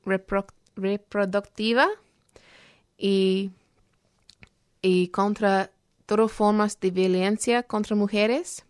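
A woman talking earnestly on a program, halting often as she searches for words. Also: medium pitch, smooth, no echo, clean studio sound. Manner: earnest, explanatory. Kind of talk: program talk on social issues.

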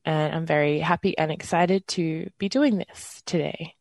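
A twenty-eight-year-old radio host with an Australian accent, leading a community panel in an earnest, relaxed way. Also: mid-range voice, a little slow, gravelly, no echo. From Western Australia. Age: 20s. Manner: earnest, relaxed, with fillers and small laughs.

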